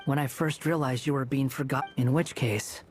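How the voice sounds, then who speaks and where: A man's voice: medium pitch, steady tone, normal speed, faint music behind, natural voice. An actor playing an aloof, sardonic young male wanderer in a video game, with a dry, guarded manner. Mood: thoughtful.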